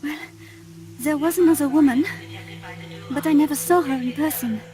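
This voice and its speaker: A woman acts out a stranded French birdwatcher in a video game, her voice high and French-accented. She is earnest and plaintive, with music playing behind her. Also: normal speed, warm tone, natural voice. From France. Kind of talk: video game cutscene acting.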